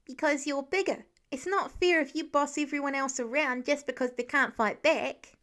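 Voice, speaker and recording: A woman's voice: high voice, steady tone, normal speed, a bit bright. A woman voicing an earnest little boy who moralizes about kindness in a story.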